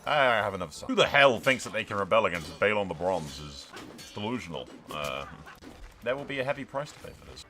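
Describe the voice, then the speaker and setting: A man's voice: lowish voice, very animated, brisk, pushed and bright. A man giving casual, sweary game commentary, slipping into mock-grandiose role-play narration. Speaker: gaming video host.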